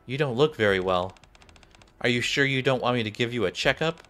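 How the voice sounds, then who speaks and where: A man's voice: mid-range voice, animated, fairly quick, bright, forceful tone. A young adult man, a game streamer, reading visual novel dialogue aloud. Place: small indoor room. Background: game music.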